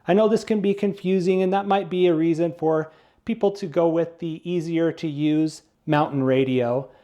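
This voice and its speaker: A man's voice: on the high side, fairly even intonation, normal speed, dark tone, dull audio. A man giving a casual product review, explaining things plainly, step by step.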